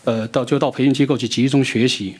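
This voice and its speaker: A man answering audience questions in a lecture room, speaking in short bursts that pause for an interpreter. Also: medium pitch, fairly even intonation, darkish tone, husky. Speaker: visiting education expert answering questions.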